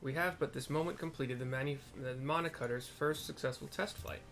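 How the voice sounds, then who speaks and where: A man's voice: mid-range voice, fairly even intonation, brisk, vocal fry, a bit bright. A man voicing formal fantasy game characters as he reads quest dialogue aloud. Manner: formal, archaic wording read out.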